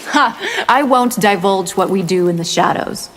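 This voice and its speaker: A woman's voice: medium pitch, lively intonation, unhurried. A woman voicing a cold, scheming winged vampire warrior who taunts her foes with disdain in a fighting video game. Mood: scornful. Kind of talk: video game character dialogue. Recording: long reverb, loud music behind.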